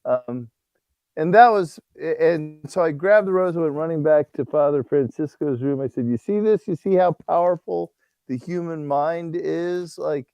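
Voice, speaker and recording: A mellow, fairly high male voice belonging to a priest who tells stories with real animation and laughs easily. The sound is dry and close. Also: normal speed, clean tone, dry recording. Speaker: priest and guest author. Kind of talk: casual livestream conversation.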